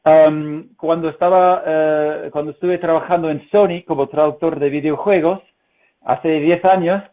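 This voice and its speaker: Guest voice: a man with a fairly high voice and a learned Castilian Spanish accent, German first language, halting with lots of "eh"s, heard over a thin, phone-like video call. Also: steady tone. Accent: Castilian Spanish, learned as a second language. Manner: hesitant, many fillers and restarts. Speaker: interview guest, translator. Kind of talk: conversational interview. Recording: tinny phone-like call audio.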